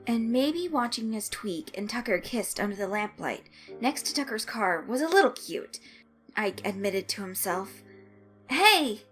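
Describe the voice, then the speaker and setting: A woman reading a fan-written story aloud as narrator, in expressive scripted narration. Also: medium pitch, moderate pace. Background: music under the voice.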